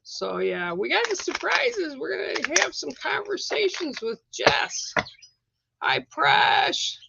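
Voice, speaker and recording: A live-stream host with a rough, hoarse voice, chatting casually with viewers and greeting them by name between coughs. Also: very high voice, sing-song, slow pace, dull audio, background noise.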